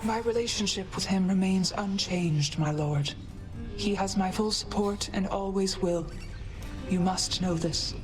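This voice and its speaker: Dignified motherly goddess, mid-range, measured and formal, faint music behind; female game actor. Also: mid-range voice, close to an everyday voice. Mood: firm, calm. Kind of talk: video game dialogue.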